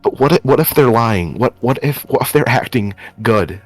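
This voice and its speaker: A man's voice: medium pitch, lively intonation, normal speed, soft background music, natural voice. A man playing a wary, sceptical questioner in an improvised anime game roleplay, stammering and repeating words as he presses. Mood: doubtful, pressing. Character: wary, sceptical male questioner distrustful of demons.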